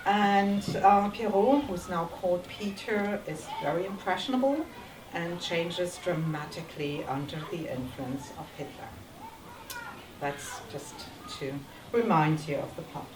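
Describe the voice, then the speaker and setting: A teacher making a polite, formal welcome speech at a school reading, speaking English as a German native with some ums. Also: female voice, fairly low pitch, noisy background. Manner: polite, careful, with ums and pauses. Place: school hall. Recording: echoey hall, distant mic, audible ambience.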